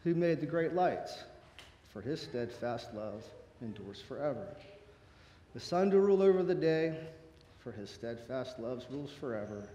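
A middle-aged man reading a psalm aloud in a measured, solemn way at a church lectern. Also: mid-range voice, expressive, dark tone. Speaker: scripture reader. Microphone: lectern mic. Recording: roomy church echo.

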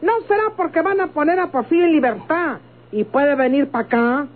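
A woman's voice: sits high, expressive, normal speed. An actress playing a sly, defiant country woman with a rural Mexican accent and a needling manner, in an old radio drama. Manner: needling, insinuating. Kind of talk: golden-age Mexican radio drama acting. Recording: tinny, noisy old radio recording.

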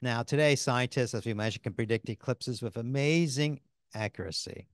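An older man co-hosting a scripted company town hall in a studio, speaking into a handheld mic with upbeat, quick affirmations. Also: mid-range voice. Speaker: co-host. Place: studio set in front of a screen.

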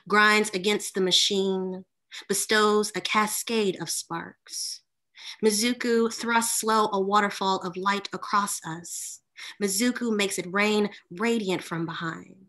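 A woman's voice: mid-range voice, bright, forceful tone. A woman in her thirties giving a poetry reading with casual asides, recorded at home over a video call. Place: room at home with bookshelves, indoors.

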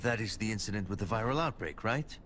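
An actor playing a roguish, cynical ex-cop with a Spanish accent in a video game, wry in manner. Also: male voice, fairly low pitch, expressive, fast, a bit bright, slight background noise. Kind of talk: video game cutscene acting.

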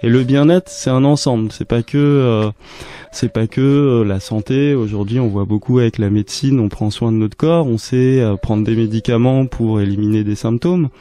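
A man's voice: medium pitch, steady tone, moderate pace, dark tone. A man in his thirties answering questions as a radio interview guest, often hesitating as he searches for words. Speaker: guest, wellness fair organiser. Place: small radio studio. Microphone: close studio microphone, headphones.

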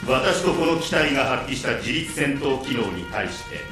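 A man playing a polite, talkative combat machine intelligence in an audio drama, formal, measured and flatly literal. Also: mid-range voice, echoey room, soft background music, natural voice. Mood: flat, dutiful. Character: polite deadpan combat robot AI, talkative and insubordinate. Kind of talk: Japanese audio drama acting.

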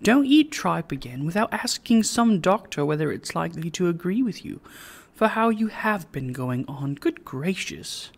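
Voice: medium pitch, sing-song, moderate pace, creaky. A narrator voicing an affectionate, teasing young woman, a devoted daughter and bride, speaking playfully and earnestly in an audiobook. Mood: fond scolding.

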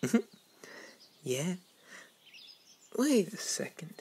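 A woman voicing a naive, excitable, greedy young bunny boy in an ASMR roleplay, with a dim-witted, childlike manner. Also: sits low, drawn-out words, full character voice. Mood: puzzled, cheerful. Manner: dim-witted, childlike, breathy asides with giggles and gasps. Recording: clearly noisy background with birdsong.

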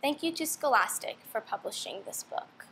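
Young woman, high-pitched, very varied intonation, children's read-aloud, expressive, background noise. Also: moderate pace, creaky. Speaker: storybook reader for children. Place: indoor room.

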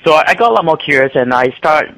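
A man's voice: on the high side, animated, rapid-fire, fairly bright tone, no room sound, low-quality recording. A man from Malaysia, a guest trader and author, answering interview questions casually with many ums and restarts.

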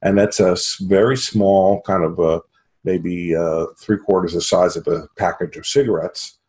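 An American man in his fifties giving a halting product pitch as an interview guest on a video call from a home office. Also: steady tone, a little mellow. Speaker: sales executive, interview guest. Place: small home office, indoors. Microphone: computer video call.

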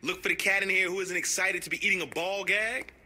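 A man's voice: high-pitched, steady tone, fast talker, bright, forceful tone, full character voice. An actor playing a casual, slangy gangster sidekick who grumbles his way through a video game. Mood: grumbling. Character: laid-back, grumbling male gangster sidekick. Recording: clear noise behind dialogue.

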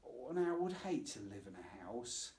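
A man's voice: medium pitch, sing-song, normal speed, darkish tone, a little hiss or hum, natural voice. A man voicing a practical, caring mother who chides and reasons firmly in a children's storybook. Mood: patient persuasion. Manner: chiding, reasoning. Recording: roomy, echoing voice.

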